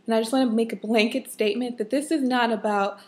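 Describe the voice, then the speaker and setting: A young adult woman with a slightly hoarse, husky voice, giving a casual, emphatic rant as a vlogger. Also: on the high side, steady tone, fast, darkish tone. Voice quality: a bit husky. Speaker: vlogger, fan of a TV show.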